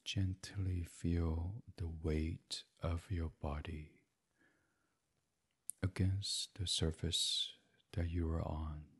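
A man leading a gentle guided sleep meditation in a soothing, hushed, breathy voice that drifts toward whispering. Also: deep voice, little pitch movement, very slow.

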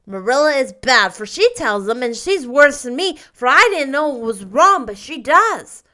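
A woman doing a loud, stubborn little boy. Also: sits high, very animated, moderate pace, voice pushed up, exaggerated voice. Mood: aggrieved. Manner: loud, indignant, argumentative.

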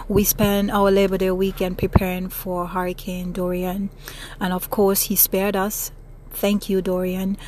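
A woman's voice: mid-range voice, slight background noise. A woman from the Caribbean hosting her own casual podcast, chatty and earnest, repeating phrases for emphasis. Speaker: podcast host. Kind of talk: casual solo podcast monologue. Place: South Florida.

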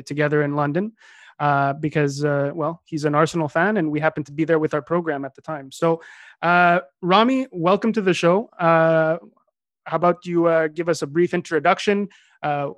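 Dry, halting male podcast co-host. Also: a little high, no room sound. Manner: hesitant, many filler uhs. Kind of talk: casual podcast chat.

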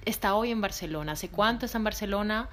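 A woman's voice: medium pitch, fast talker, fairly bright tone. A woman from Colombia's Caribbean coast with its accent, hosting a podcast, welcoming her guests and asking guiding questions. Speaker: podcast host.

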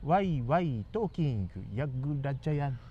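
A man's voice: medium pitch, lively intonation, normal speed. A man with a Kansai accent in casual comic chat as a radio co-host, polite but jokey and given to acting out his quips. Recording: slightly dull sound with faint noise.

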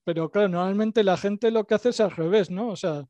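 Host: man, Basque Spanish accent, mellow dry voice, casual rambling self-deprecating chat. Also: high-pitched, brisk, dry recording, highs cut off. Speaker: podcast host. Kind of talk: casual interview podcast.

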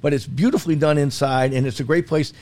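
A man's voice: fairly high pitch, fairly even intonation, fast talker, a little mellow. Jokey, casual male food commentator. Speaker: food commentator on a talk show. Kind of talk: casual talk radio chat.

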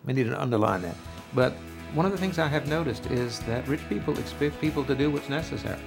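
Older-style gravelly guy hosting a church radio chat, telling little stories, faint music. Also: mid-range voice, lively intonation, fast talker. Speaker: radio show host. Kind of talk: religious talk radio discussion.

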